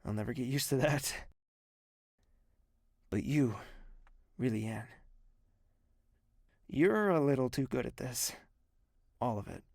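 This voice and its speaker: Gravelly, brooding young king. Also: mid-range voice, lively intonation, dry recording, studio quality, exaggerated voice. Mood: soft, admiring. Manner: hushed, weary, measured delivery with sighs. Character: grieving young prince newly become king, weary and introspective. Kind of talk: dramatic first-person narration and dialogue in audio drama.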